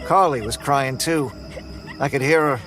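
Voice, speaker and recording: A man telling a first-person horror story in a tense, measured way. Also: mid-range voice, music in the background. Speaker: narrator.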